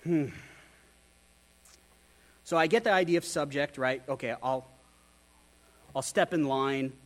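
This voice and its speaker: A man with a notably high voice delivering a sermon. He talks with candor and a self-deprecating streak, and he keeps asking his listeners rhetorical questions. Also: a little slow, rough recording. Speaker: pastor.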